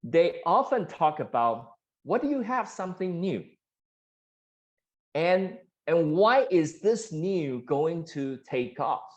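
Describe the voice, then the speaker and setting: A man from Taiwan, a startup founder answering investor questions earnestly, with frequent restarts and fillers. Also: takes it slowly. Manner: earnest, many restarts and fillers, rises in pitch when animated. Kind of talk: pitch Q&A answers. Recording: dull lo-fi sound.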